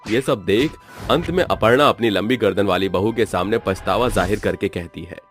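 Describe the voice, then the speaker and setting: A man narrating a cartoon story in a steady storytelling manner. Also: on the low side, normal speed, soft background music.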